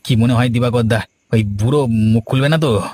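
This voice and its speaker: A man's voice: mid-range voice, moderate pace, warm tone, breathy edge, dry recording, natural voice. An actor playing a nervous, guilty village henchman in a cartoon, hesitant and evasive. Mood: conspiring. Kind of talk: cartoon drama acting.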